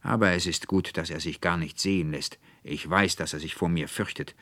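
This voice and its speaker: A man's voice: low-pitched, steady tone, normal speed, bright, forceful tone, no room sound. A man voicing an irascible middle-aged schoolmaster in a storybook reading, blustering and sputtering as he exclaims. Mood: indignant, malicious.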